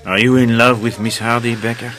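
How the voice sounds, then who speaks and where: An actor playing a sly hotel manager in a radio drama. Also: male voice, medium pitch, level tone, brisk, a bit bright, soft background music, natural voice. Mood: quietly concerned. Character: amused, sly French hotel manager, confidant to the house detective.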